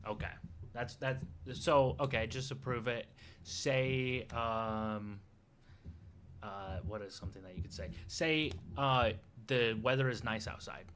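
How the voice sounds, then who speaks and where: A young man hosting a video, chatting with strangers online in a loud, teasing, hyped way from a small room with a headset. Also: mid-range voice, a little slow, slight background noise. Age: young adult. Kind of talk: casual online chat.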